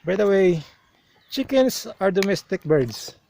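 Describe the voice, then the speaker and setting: Slow-talking Filipino man outdoors. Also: high voice, animated, vocal fry, darkish tone. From Philippines. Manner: explanatory, unhurried, with restarts. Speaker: travel vlogger. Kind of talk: outdoor travel vlog narration. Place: outdoors on a hilltop shrine.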